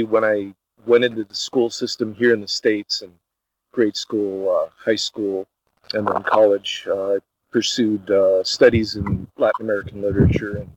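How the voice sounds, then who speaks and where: A man who grew up in Brazil with Portuguese as his first language, talking as a podcast guest and pausing on many filler sounds. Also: on the low side, dry recording. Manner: hesitant, many filler uhs. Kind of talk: casual interview talk. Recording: dull, dry lo-fi sound.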